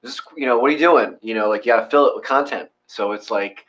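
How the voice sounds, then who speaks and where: A man's voice: medium pitch, fast talker, fairly bright tone, low-quality recording. A man chatting casually as co-host of a meditation session, rambling and joking at his own expense. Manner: joking, rambling, self-deprecating. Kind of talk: casual chat.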